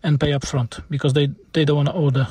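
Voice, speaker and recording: A man with a Polish accent, a native Polish speaker, explaining car repairs informally as a mechanic in halting, non-native English. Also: a little high, level tone, darkish tone, breathy edge.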